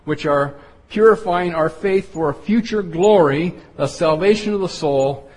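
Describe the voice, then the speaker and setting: Husky man teaching in a reverberant hall. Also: fairly high pitch, measured pace, a little mellow. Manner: earnest, explanatory, building lists of points. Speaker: Bible teacher. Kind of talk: Bible study sermon.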